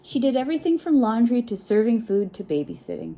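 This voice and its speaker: A woman reading a picture book aloud to children in an expressive, encouraging way, with chatty asides and frequent check-in questions. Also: fairly high pitch, brisk, vocal fry. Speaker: children's storytime reader.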